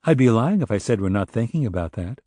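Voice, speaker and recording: A man voicing a composed female police sergeant in an audiobook, speaking in a measured, direct way. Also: fairly low pitch, expressive, mellow, dry recording, clean studio sound. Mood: blunt, thoughtful. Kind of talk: audiobook character dialogue.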